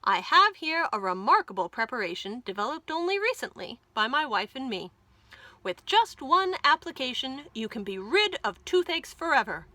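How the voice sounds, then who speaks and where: A woman's voice: sits high, big pitch swings, moderate pace, bright, forceful tone, no echo, pitched above the performer's own voice, exaggerated voice. A woman voicing a firm, wary male mouse dentist in a children's storybook, speaking formally and emphatically. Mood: brisk, formal.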